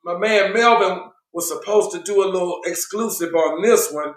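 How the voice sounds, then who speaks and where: A man with a slightly high voice hosts a casual online show, talking in a loose, slangy way and often restarting his sentences. His voice is going hoarse. The room around him sounds echoey. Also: normal speed. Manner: laid-back slangy talk, many restarts. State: losing his voice. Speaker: show host.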